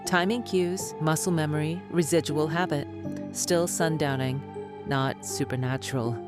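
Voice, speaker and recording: A woman acting as a self-assured medical expert in a radio drama. Her voice is notably low, with music underneath. She is clinical, condescending and dismissive. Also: fairly even intonation, measured pace. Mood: smug. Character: smug, dismissive female doctor and skeptic author. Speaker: doctor, expert guest.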